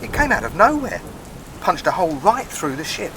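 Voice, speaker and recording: A man acting a hapless castaway spacer in a sci-fi audio play. His voice sits fairly high for a man, and he talks in a hesitant, wheedling plea. Loud rain and storm noise surrounds him. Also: huge pitch swings, moderate pace. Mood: shaken. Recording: noisy rain and storm effects throughout.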